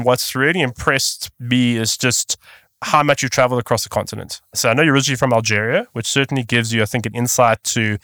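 A man's voice: medium pitch, fairly bright tone, no echo. A man hosting a podcast interview, chatty and digressive, leading into his questions. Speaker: podcast host. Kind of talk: interview host, casual chat.

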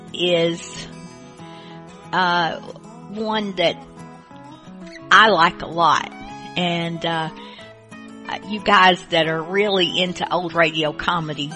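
A woman hosting a radio show with casual, unscripted chat in a halting, playful way. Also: fairly low pitch, sharp tone.

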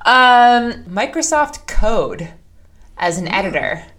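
A woman's voice: a little high, sing-song, slow pace, a bit bright. A woman co-hosting a podcast in casual chat, talking with emphatic enthusiasm. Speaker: podcast co-host.

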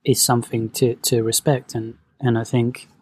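A man, born in Venezuela, talking as a podcast guest in a soft-spoken, hesitant, reflective way. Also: medium pitch, flat delivery, normal speed, husky. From Venezuela, raised in London. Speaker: guest, movement coach. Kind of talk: podcast conversation.

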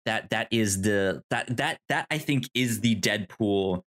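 Halting man chatting casually about films. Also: on the low side, a bit bright. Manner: halting, repeats words and trails into tangents. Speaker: podcast co-host. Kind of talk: casual film discussion.

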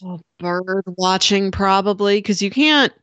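A woman of middling pitch chats casually as a podcast co-host. She describes things informally and slips in wry, playful asides. The sound is dry, with hardly any room to it. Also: unhurried, fairly bright tone, clear, resonant tone, dry recording. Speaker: podcast co-host discussing a film. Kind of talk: casual chat.

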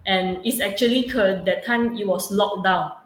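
A young woman with a Malaysian accent speaks at a middling pitch, answering interview questions casually. Her phrasing is halting and circles back on itself. The room is echoey, with some noise behind her. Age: young adult. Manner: repetitive, halting phrasing with frequent "actually". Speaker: student entrepreneur being interviewed.

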